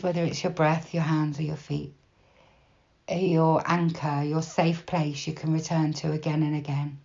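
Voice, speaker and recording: Female meditation guide, low register, soft and unhurried delivery, plenty of pauses, slightly dull recording. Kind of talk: guided body scan meditation, gentle instruction.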